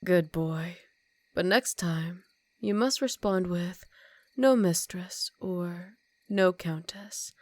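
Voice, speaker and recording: Woman playing a vampire countess for ASMR roleplay: lower-pitched, predatory, dominant, teasing, slipping into whispers, very dry close sound. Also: no echo. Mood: commanding, smug. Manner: slow teasing, dominant purr, often dropping into whispers. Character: seductive, commanding female vampire countess, ancient and predatory.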